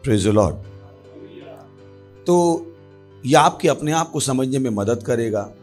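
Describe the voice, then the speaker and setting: Male pastor, Indian accent, mid-pitched voice, emphatic sermon delivery with repeated refrains, music underneath. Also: expressive, slow pace. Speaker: pastor preaching to a congregation. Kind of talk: sermon with prayer and Bible teaching.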